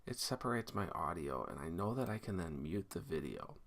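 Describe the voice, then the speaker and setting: A man with a very low, creaky voice teaches a software task in a casual screencast style. His pitch moves around a lot as he thinks aloud, informally explaining one step after another. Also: very animated, a little fast. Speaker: teacher giving a software tutorial. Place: indoors at a computer, screen recording.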